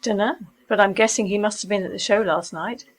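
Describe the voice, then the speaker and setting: A woman's voice: medium pitch, brisk, close to an everyday voice. A woman playing a teasing companion in radio play dialogue, talking in a casual, dry way. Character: teasing woman companion reading out online reviews.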